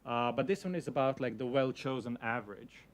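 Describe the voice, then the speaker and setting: A man's voice: medium pitch, expressive, fairly quick. A young man whose first language is Slovenian gives a casual talk to an audience. He hedges a lot and fills his sentences with "you know, like.". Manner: hedging, many fillers like "you know, like". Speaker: speaker giving a talk.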